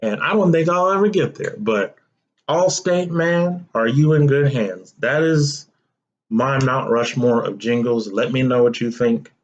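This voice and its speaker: A young man hosts a solo podcast at an unhurried, drawn-out pace. His manner is relaxed and chatty, and he keeps addressing his listeners directly. The audio is a little dull and muffled. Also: mid-range voice. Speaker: podcast host. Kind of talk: casual solo podcast talk.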